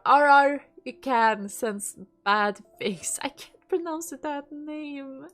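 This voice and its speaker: Stuffy-nosed woman streamer, casual, reads game dialogue aloud over soft background music. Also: fairly high pitch. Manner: reads lines aloud, swears and laughs between. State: stuffy nose.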